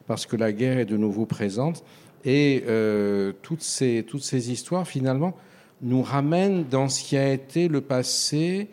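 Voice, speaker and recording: An older French-born man speaks as an author being interviewed, feeling his way through each thought with pauses and restarts. His pitch sits in an ordinary middle range for a man. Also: a little mellow. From France. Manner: halting and reflective, with many hesitations. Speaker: author guest. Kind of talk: radio interview.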